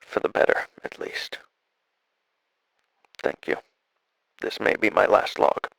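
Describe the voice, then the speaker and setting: A man playing a dying, regretful scientist in an audio drama, speaking haltingly and wearily as he records a solemn log. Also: a bit bright, dry recording, low-quality recording. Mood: resigned, apologetic. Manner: halting, solemn, weary delivery with trailing phrases.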